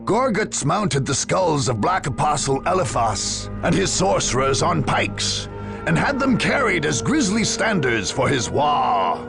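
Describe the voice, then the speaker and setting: Slow, gravelly male war chronicler. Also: on the high side, sing-song, over music, exaggerated voice. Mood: grand, grim. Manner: solemn, theatrical, deliberate. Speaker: narrator. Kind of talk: dramatic scripted game narration.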